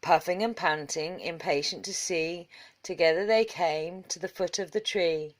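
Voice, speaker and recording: A woman reading a children's storybook aloud in measured, rhythmic verse. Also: fairly low pitch. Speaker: story reader.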